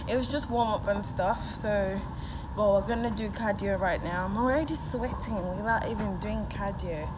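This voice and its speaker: A woman's voice: medium pitch, normal speed, heavy background noise. A young woman chatting to her phone camera in a gym, bantering and laughing as she talks to viewers. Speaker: vlogger. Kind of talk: casual vlog chat to camera. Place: large indoor gym.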